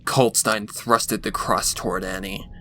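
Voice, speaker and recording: A man's voice: fairly low pitch, fairly even intonation, a little slow, sharp tone, a little hiss or hum. A man reading scripted fan fiction as a dramatic narrator. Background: background music.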